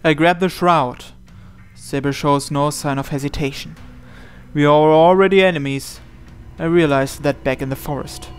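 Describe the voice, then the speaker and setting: A man's voice: fairly high pitch, fairly even intonation, moderate pace, clear, resonant tone. A man reading first-person visual novel narration aloud as he plays. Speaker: game player reading aloud. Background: soft game music.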